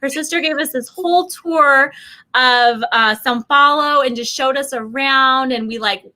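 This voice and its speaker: A middle-aged woman telling casual stories in an animated, chatty way, acting out what people said. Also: high-pitched, normal speed. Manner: animated, chatty, acts out quoted remarks. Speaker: guest sharing family travel experiences. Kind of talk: casual conversational storytelling.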